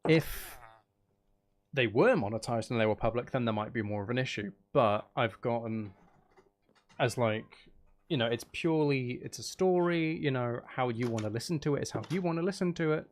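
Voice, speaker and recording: A man with a gravelly edge, chatting casually to viewers as he plays a game, rambling and trailing off as he thinks aloud. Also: medium pitch, normal speed. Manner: rambling, trailing off, muttering to himself. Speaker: streamer and audio creator chatting with viewers. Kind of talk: casual livestream gameplay chat.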